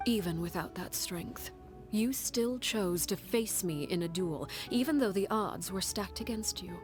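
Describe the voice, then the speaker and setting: An actress voicing a cold, commanding woman who heads a household of orphans, speaking in a calm, measured, stern way in a video game. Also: mid-range voice, lightly acted. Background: game score music.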